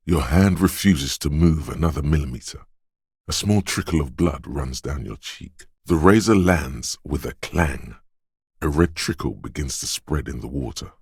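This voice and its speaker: An actor playing the coolly urging inner voice of a detective's reflexes in a video game, narrating to the listener in a deliberate, intimate, theatrical way. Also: male voice, dry recording, studio quality, exaggerated voice. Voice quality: very deep, smooth, resonant. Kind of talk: dramatic second-person game narration.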